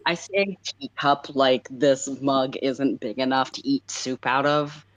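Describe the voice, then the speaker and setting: Woman, extremely deep pitch, playful, casual livestream chat. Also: takes it slowly, rough recording. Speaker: livestream co-host.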